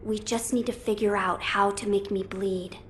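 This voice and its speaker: A woman's voice: mid-range voice, steady tone, moderate pace, fairly bright tone, a little hiss or hum, natural voice. A woman playing an earnest, noble young boy lord in a video game, formal, thoughtful and composed. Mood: thoughtful, resolute. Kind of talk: video game cutscene acting.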